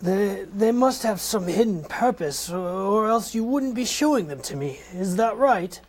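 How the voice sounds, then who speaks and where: A man's voice: high voice, animated, a little slow. Nervous old miser guy, stammers a lot, a man reading it, amateur radio play. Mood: fussy. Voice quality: hesitant, stammering. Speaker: lead actor in a comedy reading.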